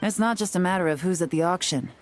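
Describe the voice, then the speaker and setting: A shrewd, composed female investigator from a video game, voiced by a woman. She speaks in a low, even voice, explaining step by step with calm, measured control. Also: a little hiss or hum, natural voice. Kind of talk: video game cutscene voice acting.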